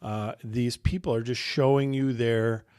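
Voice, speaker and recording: This is a man in his thirties on a podcast, talking things through in a measured, reflective way. His voice is mid-pitched and recorded close and dry. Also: steady tone, a little mellow, clear, resonant tone, no room sound. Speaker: podcast co-host. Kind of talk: casual podcast chat.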